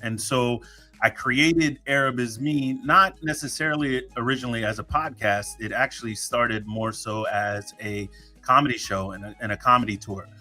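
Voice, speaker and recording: A man's voice: medium pitch, moderate pace, sharp tone, faint music behind. A man hosting his own podcast, chatting casually and joking, with many fillers and restarts. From United States. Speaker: podcast host. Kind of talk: casual solo podcast talk.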